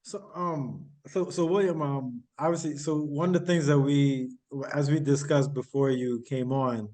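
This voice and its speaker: A man's voice: mid-range voice, fairly even intonation, unhurried, a little mellow. A man hosting a counseling podcast, asking loose, rambling questions full of fillers. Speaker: podcast host. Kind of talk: casual interview hosting.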